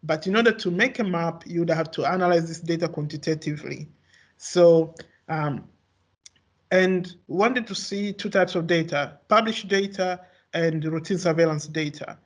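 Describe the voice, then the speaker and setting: A young man whose first language is Swahili gives an academic research talk, halting often with fillers and restarts. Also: fairly high pitch. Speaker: researcher presenting.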